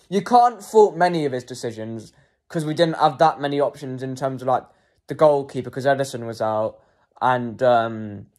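Slow, hesitant young man rating players. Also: medium pitch, darkish tone. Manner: slow, hesitant, frequent ums and self-corrections. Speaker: football fan vlogger. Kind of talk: post-match player ratings, casual monologue.

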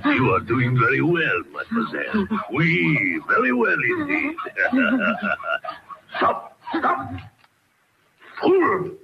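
An actor playing a gloating, deranged old Frenchman with a French accent in a radio horror play, drawn-out and theatrical. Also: high-pitched, wildly swooping pitch, creaky, exaggerated voice. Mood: gleeful, deranged. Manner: theatrical, drawn-out, chuckling, coaxing with "eh?". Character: mad, gloating old Frenchman who cleans bones. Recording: dull, noisy vintage radio recording.

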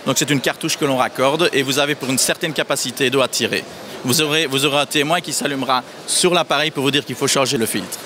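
A man's voice: mid-range voice, fast talker, pushed and bright, a little hiss or hum. A man in his thirties, a sales representative explaining a product feature by feature at a trade fair stand. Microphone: handheld microphone held by interviewer.

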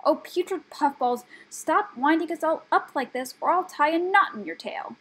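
A small, cheeky brownie girl performed by a woman with a high, husky voice. She is blunt and sarcastic, a sharp-tongued storybook creature with a short temper. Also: lively intonation, moderate pace, full character voice. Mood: scornful, impatient. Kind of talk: audiobook character voice.

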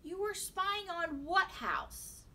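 Exasperated mom, read by a woman in a story, sharp and accusing, slightly off mic. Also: very high-pitched, expressive, slow pace, far from the mic, pitched above the performer's own voice, exaggerated voice. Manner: urgent, accusing. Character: sharp, suspicious mother confronting her son.

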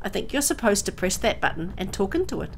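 Gentle bookish young man, done by a woman. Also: mid-range voice, sing-song, quick pace, a little hiss or hum. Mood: helpful.

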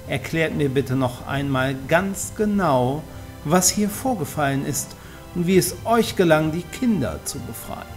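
A man playing an authoritative, formal reeve in a fantasy audio drama, speaking deliberately and with command. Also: on the high side. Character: commanding male reeve on horseback, brisk and authoritative.

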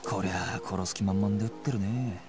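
An actor playing a cool young policeman in a video game, detached and unhurried, needling with sly politeness. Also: lowish voice, fairly even intonation, over music, natural voice.

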